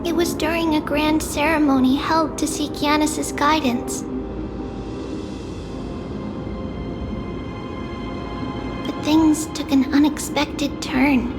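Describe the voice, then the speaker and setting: An actress playing a gentle young girl oracle in a game, speaking in a solemn, measured and formal storytelling manner. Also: high voice, fairly even intonation. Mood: solemn, reflective. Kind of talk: English game voice acting. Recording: loud music behind.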